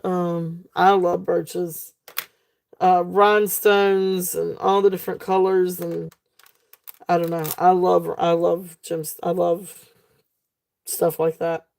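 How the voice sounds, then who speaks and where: Slow-talking, gravelly-voiced auction woman. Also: fairly low pitch, flat delivery, a little mellow. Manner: chatty, fillers, reads comments aloud. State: losing her voice. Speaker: live sale host. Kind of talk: live auction selling chat.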